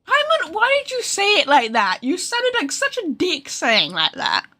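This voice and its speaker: Bright, animated woman livestreamer. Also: extremely high pitch, measured pace. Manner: informal, teasing. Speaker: game livestreamer. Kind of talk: casual stream chat, reacting to game dialogue.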